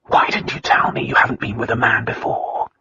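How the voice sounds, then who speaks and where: A narrator voicing an intense, possessive yet tender male lover in a romance audiobook, in breathy, intimate whispers. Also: brisk, bright, forceful tone, muffled recording, lightly acted. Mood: urgent, upset. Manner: urgent, intimate. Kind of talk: romance audiobook character dialogue.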